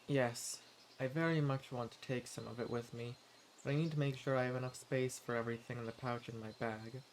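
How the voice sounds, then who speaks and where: A man playing a friendly, eager adventurer and forager in a fantasy roleplay audio drama, speaking politely and earnestly with gentle hesitation. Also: medium pitch, fairly even intonation, a little fast, a little hiss or hum, natural voice. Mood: excited, eager. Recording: clear outdoor nature ambience with birdsong. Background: forest ambience, birds.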